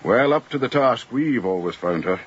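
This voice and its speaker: A man's voice: medium pitch, lively intonation, moderate pace, lightly acted. A man acting an older, opinionated first mate in a radio drama, with a fussy, emphatic and ponderous way of speaking. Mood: dutiful, plain. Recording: dull, lo-fi radio drama recording.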